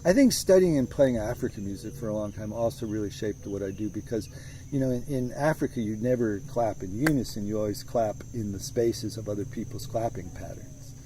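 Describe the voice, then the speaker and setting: A man with a lowish, very mellow voice talks through his music at an easy, rambling pace, often circling back and restarting his sentences. He is recorded outdoors in the countryside, with crickets faintly audible behind him. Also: fairly quick, slight background noise, rough recording. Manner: thoughtful, rambling, many restarts. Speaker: musician interviewee. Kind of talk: relaxed conversational interview.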